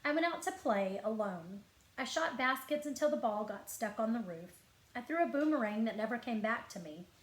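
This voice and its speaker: A woman reading a children's storybook aloud expressively, like a school librarian, with dramatic pauses and stretched words for emphasis. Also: fairly high pitch, animated, a little hiss or hum. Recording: echoey, roomy sound.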